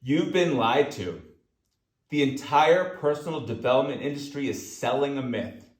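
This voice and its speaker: A man's voice: medium pitch, animated, moderate pace. A man reading his own self-help audiobook, speaking emphatically and directly to the listener. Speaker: author narrating own audiobook. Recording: echoey room sound.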